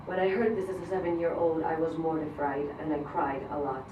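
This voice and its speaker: Low-voiced woman speaking in an echoey room. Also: fairly even intonation, fairly quick. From Colombia. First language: Spanish. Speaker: grant recipient giving a speech. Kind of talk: prepared personal speech. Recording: roomy, distant mic, noisy event hall.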